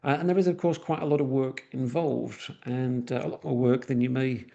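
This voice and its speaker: Manchester guy giving a webinar, kinda gravelly and dark, restarts a lot. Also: medium pitch, little pitch movement, a little fast, highs cut off. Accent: Mancunian. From northwest England. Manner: talks with frequent uhs and restarts. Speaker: presenter. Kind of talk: webinar presentation.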